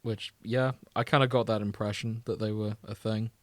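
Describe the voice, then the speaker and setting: A man's voice: on the low side, little pitch movement, moderate pace, no echo. A man hosting a podcast in casual banter, chatty, teasing and wry, sometimes reading fan fiction aloud. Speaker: podcast host.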